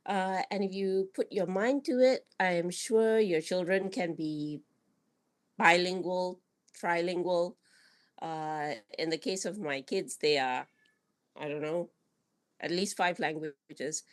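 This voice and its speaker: A woman from Malaysia joins a conversation over a video call. She talks slowly in a lowish voice and pauses on filler sounds as she works out her thoughts. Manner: unhurried, with many filler sounds. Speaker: listener asking in. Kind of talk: casual online discussion.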